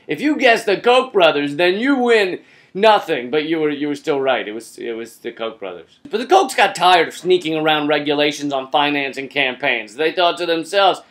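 A high-voiced American man hosts a comedy rant to camera. He speaks with biting sarcasm and heavy emphasis, like someone delivering a satirical monologue. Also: animated, normal speed. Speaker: host of a satirical political comedy web show.

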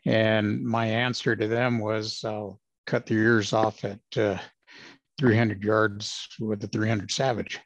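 A man in his sixties, a retired ranger, telling his stories in a measured, plain-spoken way with many fillers. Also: fairly low pitch, flat delivery, no echo. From Los Angeles, United States. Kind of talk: casual interview storytelling.

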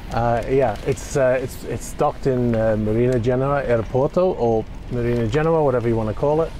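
A man's voice: medium pitch, normal speed, dark tone, a little hiss or hum, low-quality recording. Chatty man vlogging outdoors at a marina. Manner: chatty, rambling, with frequent fillers and restarts. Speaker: yacht vlogger. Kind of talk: casual walk-and-talk vlog. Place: outdoor marina dock in cold wind. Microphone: phone held at arm's length, selfie framing.

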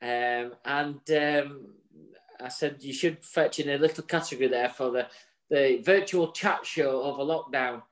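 A man's voice: mid-range voice, unhurried. A man, co-hosting a casual chat show over a video call, jokey and chatty, with the odd swear word. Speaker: co-host. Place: home room with virtual bar backdrop. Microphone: video call webcam.

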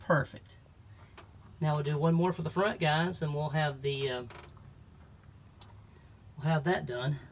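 A man's voice: fairly high pitch, steady tone, a little slow, long pauses, a little hiss or hum. Folksy Southern American man, thinking aloud. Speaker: truck camping vlogger. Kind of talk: casual DIY chat to camera. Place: outdoors and inside a truck bed by an open garage. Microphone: handheld phone at arm's length.

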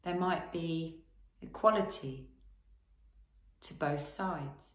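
Woman, low pitch, guided meditation teacher, gentle phrasing with long pauses, roomy echo. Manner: gentle, unhurried instruction with long pauses between short phrases. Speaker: guided relaxation and movement teacher. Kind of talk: guided body scan meditation.

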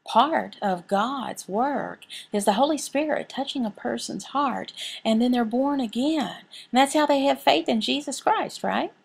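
A woman voicing a signed sermon as an interpreter, speaking deliberately, phrase by phrase with pauses. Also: mid-range voice, vocal fry, breathy edge. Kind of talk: sermon and prayer, voiced from sign language.

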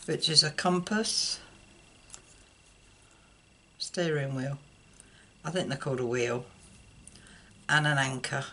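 An older woman giving an unscripted card-making demonstration, haltingly thinking aloud as she works at a table indoors. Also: deep voice, bright, forceful tone. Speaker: craft tutorial host. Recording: clear background noise, clicks.

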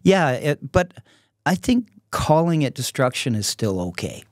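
A man in his sixties speaking casually and reflectively as a podcast guest, in a halting, thoughtful way. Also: a little high, sing-song, no room sound, clean studio sound. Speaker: podcast guest, artist and songwriter. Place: small podcast studio with curtains. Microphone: close podcast microphone on a stand.

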